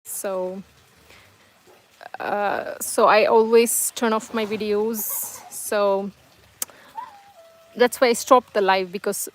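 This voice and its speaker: A woman's voice: mid-range voice, steady tone, slow, long pauses. A woman whose first language is Tamil hosts a casual livestream at home, reading viewers' comments and chatting in a hesitant, reassuring way with many fillers and restarts. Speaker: livestream host. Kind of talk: casual live-chat monologue, reading comments.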